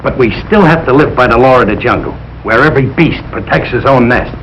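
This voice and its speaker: An actor playing a blustering, good-hearted Brooklyn working-class husband, blunt and slangy, in old broadcast sitcom style. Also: male voice, mid-range voice, lively intonation, brisk, a bit bright, lo-fi sound. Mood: confiding, determined. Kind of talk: 1940s-50s broadcast sitcom acting. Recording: noisy old broadcast recording.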